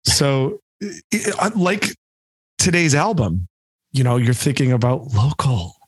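A man's voice: mid-range voice, big pitch swings, unhurried, slightly rough, no echo. A man from Michigan co-hosting a podcast, chatting casually with a wry, joking manner. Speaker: podcast co-host. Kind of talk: casual chat. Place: home.

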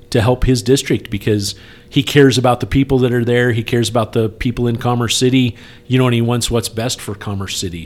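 A man talking casually on a podcast in an earnest, persuasive, conversational way, repeating points for emphasis. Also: mid-range voice. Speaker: speaker appealing for campaign support.

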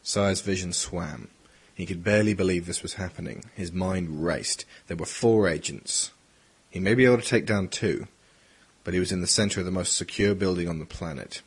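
A man with a husky voice reading dramatic scripted narration for an audio drama. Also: low-pitched, normal speed.

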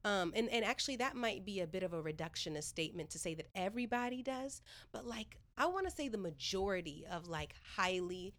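A woman's voice: medium pitch, lively intonation, a little fast, few pauses, fairly bright tone. A woman from Northern California, a playwright and professor, talking through ideas in a thoughtful podcast conversation, with many "like"s and restarts. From Northern California, USA.

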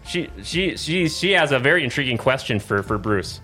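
A man hosting a casual talk show, excitedly rambling with stammers and slipping into hammy script narration. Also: medium pitch, normal speed. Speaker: show host. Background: suspenseful crime music playing in the background.

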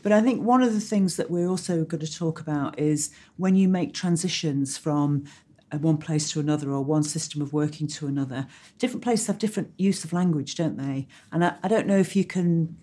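A woman's voice: deep voice, a little fast, mellow. A woman co-presenting a discussion with a fellow doctor, enthusiastic, often ending points with tag questions. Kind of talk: conversational discussion.

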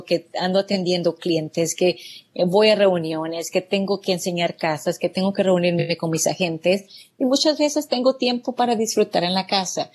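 A woman's voice: on the low side, a little mellow. A woman from El Salvador, a business owner answering interview questions in an earnest, grateful way. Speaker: guest, real estate business owner.

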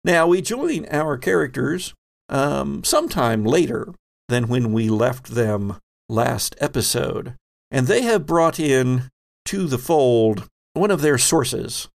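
A man narrating a tabletop game podcast as host and game master, with dramatic storytelling phrasing and deliberate pauses. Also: mid-range voice, expressive, gravelly.

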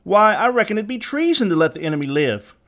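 A man's voice: high-pitched, very animated, fast, a bit bright, pitched above the performer's own voice, heavily acted. A man voicing a young, swaggering Confederate gang leader in a storybook, cruel and mocking, with a twangy American Southern accent. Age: young adult.

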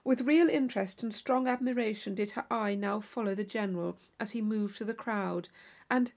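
A woman's voice: medium pitch, moderate pace, a little mellow. A woman reading scripted literary narration as an audiobook narrator.

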